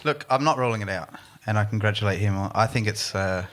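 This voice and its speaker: A man's voice: lowish voice, fast. A man, a musician guest, chatting casually with a self-deprecating, laughing manner. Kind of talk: casual chat.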